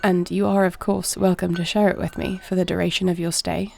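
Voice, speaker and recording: A woman playing a duty-bound, caring warrior princess in an audio roleplay, speaking to the listener in a formal, measured, creaky voice. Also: lowish voice, little pitch movement, slight background noise. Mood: composed, wry. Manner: formal, measured, soft-spoken speech.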